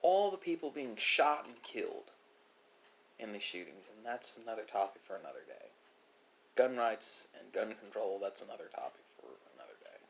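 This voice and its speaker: A man delivering a reflective monologue, speaking philosophically with dramatic pauses and emphasis. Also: on the low side, sing-song, creaky, a bit bright, slight background noise, poor audio. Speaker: video creator.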